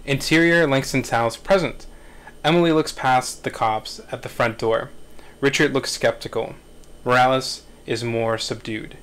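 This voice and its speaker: A man in his thirties narrating screenplay directions in a measured, halting way at a table read. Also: medium pitch, fairly even intonation, a bit bright, clean tone.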